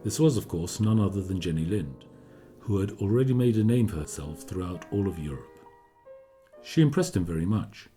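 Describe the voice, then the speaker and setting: A man playing a confiding, measured storyteller narrating history in a scripted radio play. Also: deep voice, expressive, dark tone. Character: discreetly gossiping male narrator recounting a composer's history. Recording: studio radio play with music behind. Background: music.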